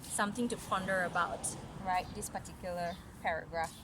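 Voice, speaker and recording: A woman reading a fairy tale aloud to children in an instructive way, pausing for chatty comments and questions. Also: on the low side, steady tone. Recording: noisy home recording.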